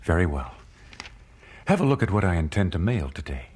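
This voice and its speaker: A man's voice: deep voice, sing-song, fairly quick, a little mellow, husky, exaggerated voice. An actor playing an earnest, devout 19th-century family man, reflective, in a radio drama. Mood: hesitant, earnest. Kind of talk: radio drama narration and dialogue.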